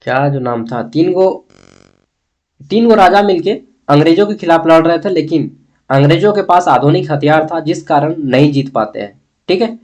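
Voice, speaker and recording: Male history tutor, fairly high voice, Bihar-Jharkhand accent; colloquial, repeats key points, poses questions and answers them. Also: animated, normal speed, darkish tone. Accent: eastern Indian Hindi, Bihar-Jharkhand. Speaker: history teacher. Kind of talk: informal exam-prep lesson, explaining a text.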